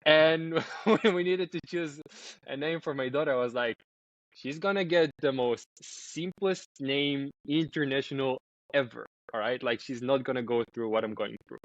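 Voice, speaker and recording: A man's voice: medium pitch, normal speed, a bit bright, no echo. A young man from Israel, a startup founder talking loosely as a podcast guest, with lots of ums and restarts. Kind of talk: casual podcast interview. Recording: dry, slightly dull remote audio.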